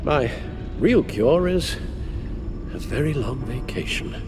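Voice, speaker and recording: An actor playing a weary, proud elderly British butler in a video game, halting and genteel, with a frail voice. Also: male voice, medium pitch, lively intonation, background noise. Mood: wistful, tired. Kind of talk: dramatic video game acting. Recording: music behind the voice.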